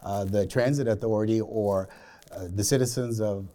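Male guest from Alabama, mellow dark tone, on the low side, measured and explanatory. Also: steady tone. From Alabama, United States. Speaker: podcast guest. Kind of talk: conversational discussion.

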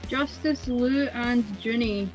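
A woman acts as game master in a casual tabletop session. Her voice sits a bit high. Synth music plays clearly underneath her. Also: slow, muffled recording. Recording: music playing behind the voice. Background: synth background music.

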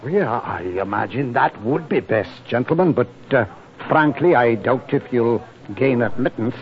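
An actor playing a nervous, evasive gentleman with a courteous, stammering manner in a 1940s radio drama. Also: medium pitch, lively intonation, normal speed, natural voice. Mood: anxious, cautioning. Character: nervous, evasive, polite gentleman, a fearful cousin. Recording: dull 1940s radio broadcast.

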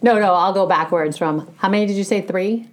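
An adult woman with a loud voice, co-hosting a casual chat in a playful, teasing way. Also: medium pitch, brisk. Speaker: podcast co-host.

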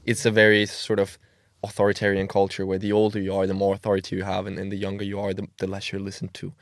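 A man's voice: low voice, completely flat delivery, no echo, clean studio sound. A man of twenty-one from Denmark, talking earnestly as a podcast guest and often repeating words as he goes. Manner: earnest, quick, with frequent word repeats. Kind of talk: casual interview talk.

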